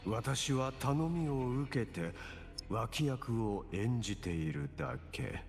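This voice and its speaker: An actor playing a smug shapeshifting villain cleric in a game, composed, mocking and theatrical. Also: male voice, mid-range voice, animated, moderate pace, lightly acted. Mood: calm menace. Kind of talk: Japanese game voice acting. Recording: long hall reverb, game music behind. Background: game music.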